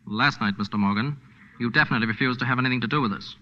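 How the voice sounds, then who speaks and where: A man's voice: medium pitch, rapid-fire, lightly acted. An actor playing a wounded underground resistance man in a 1940s radio drama, speaking formally in clipped, urgent phrases. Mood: urgent, strained. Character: wounded, urgent male French underground fighter. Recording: dull 1940s radio recording.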